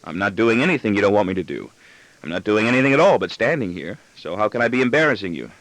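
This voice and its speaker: A man's voice: lowish voice, sing-song, fast talker, fairly bright tone, no room sound, rough recording. A man playing an exasperated, sardonic father with firm, wry retorts in an audiobook story. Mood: defensive. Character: exasperated, sardonic father sparring with his son.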